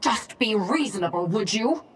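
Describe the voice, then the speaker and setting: A woman's voice: medium pitch, expressive, moderate pace, lightly acted. A woman playing a composed, polite but firm minder in a video game, speaking with control. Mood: stern, calm. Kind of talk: video game cutscene dialogue. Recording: clear noise behind the voice.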